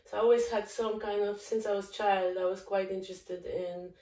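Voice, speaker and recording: A woman's voice: medium pitch, moderate pace. Polish-accented woman telling her story. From Poland. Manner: open, candid, animated in places. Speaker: guest, documentary filmmaker. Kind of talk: personal storytelling in interview. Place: living room, indoors. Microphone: far camera. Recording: echoey room, far from mic.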